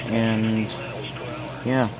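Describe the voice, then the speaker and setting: A man narrating a casual, unscripted vlog in a halting, repetitive way. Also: lowish voice, level tone, crowd noise. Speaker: amateur vlogger filming weather radio alerts.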